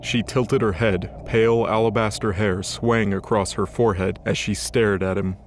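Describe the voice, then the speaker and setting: Low-pitched man narrating a dark drama. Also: fairly even intonation, normal speed, a little hiss or hum. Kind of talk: scripted dramatic story narration. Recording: music under the voice. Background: music.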